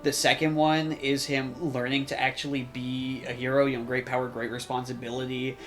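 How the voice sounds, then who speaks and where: Halting, explanatory podcast co-host over music. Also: medium pitch, little pitch movement. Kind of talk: casual chat about game film adaptations. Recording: music behind the voice.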